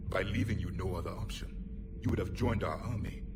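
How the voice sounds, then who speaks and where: A man plays a proud ancient alien warrior with a very deep voice, grave and commanding, his words often sinking into a cold half-whisper. He sounds set back from the mic under loud game music. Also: fairly quick, far from the mic, close to an everyday voice. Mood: grim. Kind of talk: video game cutscene dialogue.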